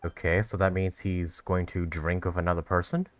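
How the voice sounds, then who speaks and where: A man's voice: low voice, little pitch movement, normal speed. A man hosting a gaming video, giving casual commentary and musing to himself as he plays.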